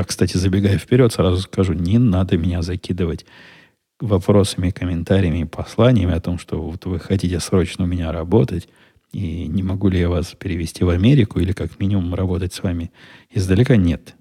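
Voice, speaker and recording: A middle-aged man hosting a solo podcast, answering listener questions in a dry, wry, unhurried way. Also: low voice, vocal fry, warm tone, slightly rough. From Russian-speaking country. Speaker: podcast host.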